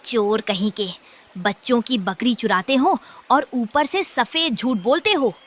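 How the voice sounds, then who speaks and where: A woman's voice: on the high side, unhurried. Woman as a shrewd old granny bird. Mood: furious scolding. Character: shrewd, experienced old grandmother bird, bossy and fierce. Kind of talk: children's cartoon acting.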